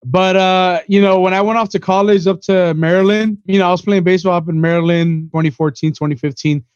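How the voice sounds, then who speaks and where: A man's voice: high voice, fairly even intonation, a little fast, darkish tone, smooth, no echo, highs cut off. A man in his twenties from Miami, a podcast guest rambling through his story in casual chat, full of "you know" and "bro". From Miami, USA. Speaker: podcast guest, event organizer.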